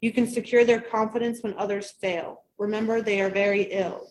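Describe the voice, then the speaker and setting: A woman leading a meeting, reading a passage aloud in a steady, measured way. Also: medium pitch, slight background noise, low-quality recording.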